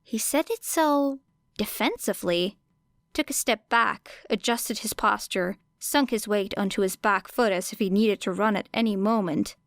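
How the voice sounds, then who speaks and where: A woman's voice: medium pitch, normal speed, no echo, studio quality. A woman reading scripted fiction as an audiobook narrator.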